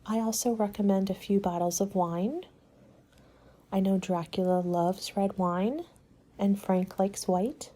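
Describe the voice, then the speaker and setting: Lady playing a polite monster party planner, asmr roleplay, soft husky breathy voice, really unhurried advice. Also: mid-range voice, fairly even intonation, close to an everyday voice. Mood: calm, helpful. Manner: measured, polite, advisory. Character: courteous, helpful woman party planner for monsters.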